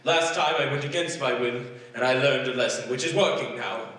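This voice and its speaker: A man's voice: medium pitch, fairly quick, fairly bright tone, lightly acted. An actor playing Scrooge, an old male miser, hesitant and stammering in a 1940s-style radio play. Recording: echoey stage from far off.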